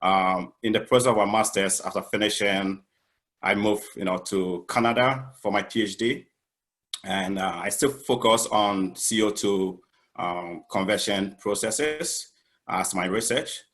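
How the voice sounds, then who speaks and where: Low-voiced man from Ghana, chemist, halting panel intro. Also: fairly bright tone. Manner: halting, many "um" and "you know". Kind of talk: self-introduction on an online panel.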